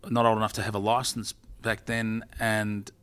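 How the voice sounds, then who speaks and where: A middle-aged man talks casually as an interview guest. His voice sits a little low, and he rambles, often stopping to correct himself partway through a sentence. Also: monotone, normal speed, fairly bright tone, clear, resonant tone. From Australia. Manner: rambling, self-correcting storyteller.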